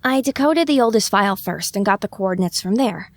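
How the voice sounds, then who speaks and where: A woman's voice: mid-range voice, animated, fairly quick, lightly acted. A woman voicing a young, self-conscious little pony filly with wry, exasperated muttering in an audio drama, with echoing reverb. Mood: earnest, tentative.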